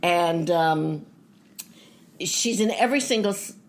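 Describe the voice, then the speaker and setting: A woman co-hosting a podcast in casual chat, hoarse and scratchy today, talking with gushing enthusiasm. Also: lowish voice, slow pace. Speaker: podcast co-host.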